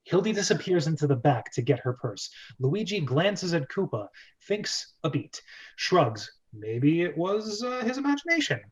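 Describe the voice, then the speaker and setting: A man's voice: on the high side, sing-song, moderate pace, husky, rough recording. A man hosting a casual amateur screenplay read, narrating stage directions and breaking off into laughing asides. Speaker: host and narrator of a script read-through.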